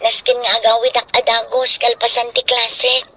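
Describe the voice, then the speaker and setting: A woman's voice: on the high side, little pitch movement, normal speed, pushed and bright, a little hiss or hum. A woman playing a polite, obedient young student daughter in a radio drama, with an Ilocano Filipino accent. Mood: hesitant.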